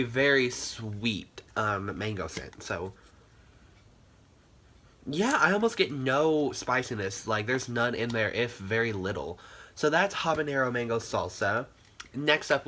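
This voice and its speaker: A man's voice: medium pitch, expressive, unhurried, sharp tone. Chatty congested young man reviewing candles. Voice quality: nasal. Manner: chatty, many "like" fillers. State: nasally congested. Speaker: candle channel host. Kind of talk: casual product review vlog.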